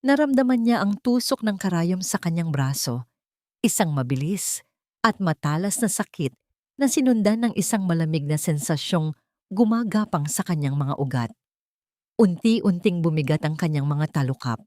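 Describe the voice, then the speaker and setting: A Filipino woman narrates a dramatic audiobook in a low-pitched voice. The sound is dry and close, with very little room in it. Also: lively intonation, normal speed, warm tone, dry recording. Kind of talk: dramatic scripted story narration.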